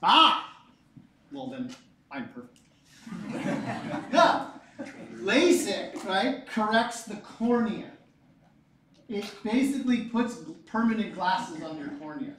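A man in his forties teaching a physics class, lively and joking, repeating his key points. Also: high voice, slow pace, long pauses, slight background noise. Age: 40s. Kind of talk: classroom lecture. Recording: echoey room, mic far off.

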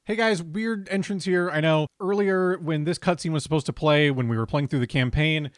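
A man's voice: a little high, normal speed. A young man chatting casually as a game streamer, reacting live from a bedroom on a close microphone. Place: bedroom, indoors. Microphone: close desk microphone with headset.

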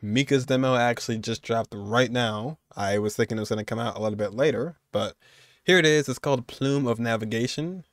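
A man's voice: mid-range voice, fairly quick, no echo, studio quality. A man, giving informal, amused reaction commentary as a streamer. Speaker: streamer reacting to game videos. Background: faint music.

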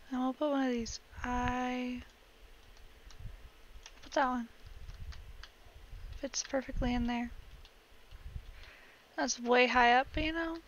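A woman's voice: high voice, highs cut off, slight background noise. A young woman casually chatting while she plays a building game, rambling with little laughs, her voice cracking now and then. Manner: chatty, rambling, giggly asides. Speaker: gaming vlogger.

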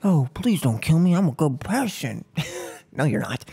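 A young man in his twenties playing a gloating, unhinged serial killer in an ASMR role-play, speaking close to the mic in a halting, mock-polite, menacing way. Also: on the high side, sing-song, creaky, mellow, pitched above the performer's own voice. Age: 20s. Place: small furnished room at home. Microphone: close microphone on a boom arm.

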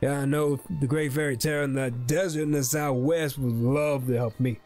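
A man's voice: medium pitch, steady tone, moderate pace, darkish tone. A man giving casual, slangy, playful commentary as he plays a video game. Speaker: gaming host. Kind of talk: casual let's play commentary. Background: game music.

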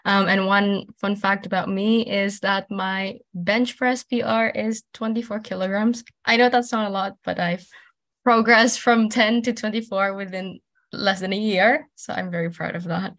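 A woman's voice: mid-range voice, steady tone, normal speed, a bit bright. A young woman from Indonesia, a university student speaking on a video-call panel, rambling and correcting herself. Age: young adult. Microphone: laptop webcam on video call.

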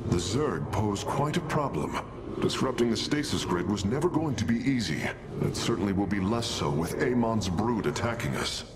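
An actor playing a careful, concerned alien engineer in a video game, precise, earnest and formal. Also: male voice, on the low side, expressive, moderate pace, heavy background noise, close to an everyday voice. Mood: urgent, explanatory. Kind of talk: dramatic video game cutscene acting.

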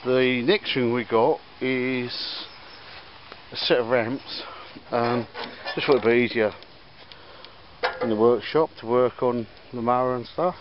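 A man's voice: mid-range voice, fairly even intonation, slow pace. Rambling man filming outdoors. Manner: rambling, with many ums and restarts. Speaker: vlogger showing auction finds. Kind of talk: casual chat. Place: outdoors in a garden and workshop. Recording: slightly dull camera audio with faint background noise. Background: faint outdoor ambience and church bells.

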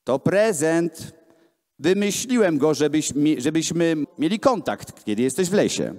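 A man voicing an eager, restless young inventor grandson in a storybook, excited and insistent, in an echoey hall. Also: fairly high pitch, unhurried. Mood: cheerful, proud. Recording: echoey hall, amplified voice filmed from the room.

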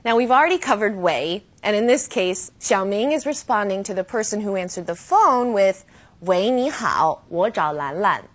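A woman hosting a language lesson with scripted, didactic delivery, slowing down to model key phrases. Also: mid-range voice, animated. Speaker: host and language teacher. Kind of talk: scripted educational presenting.

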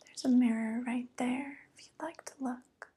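A young woman playing a vain, sly witch in a soft-spoken ASMR roleplay, breathy and whispery, cooing with false tenderness close to the microphone. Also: fairly high pitch, fairly even intonation. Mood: smug, sly. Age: young adult. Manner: slow, cooing, gently condescending. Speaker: ASMR performer.

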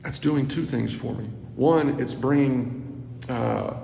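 A man in his thirties teaching a movement practice while filming himself on a phone in a stairwell, talking slowly and dropping to murmurs. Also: mid-range voice, gravelly, warm tone. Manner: slow, drawn-out, murmured asides, drops to near whisper. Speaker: movement instructor. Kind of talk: walking demonstration to phone camera. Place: indoor stairwell of a building. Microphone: phone camera held at arm's length. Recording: echoey stairwell with noisy ambience.

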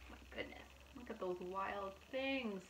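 A woman in her thirties, a preschool teacher, reads picture books aloud to young children in an expressive, animated manner with asides to her listeners. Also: mid-range voice, a little slow, slight background noise, rough recording. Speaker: preschool teacher reading storytime.

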